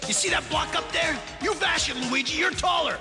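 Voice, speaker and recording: A man voicing a feisty, bossy plumber big brother in a cartoon, gravelly, brash and exclamatory. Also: extremely high pitch, a little fast, over loud music. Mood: bossy, determined. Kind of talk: animated cartoon hero acting.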